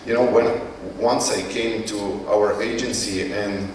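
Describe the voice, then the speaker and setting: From far off in a very echoey hall with a faint bed of noise comes a man's slightly low voice. He has a Ukrainian accent and speaks non-native English. He presents informally, haltingly, often searching for the right word. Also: steady tone. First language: not English. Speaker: conference speaker, company CEO. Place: conference hall.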